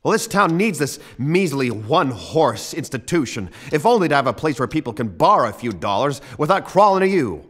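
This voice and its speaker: A man's voice: medium pitch, sing-song, brisk, few pauses. An actor playing an earnest young man in a radio play, stammering and emphatic. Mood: impassioned, defiant. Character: earnest, impassioned young man defending ordinary townsfolk. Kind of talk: theatrical radio play acting.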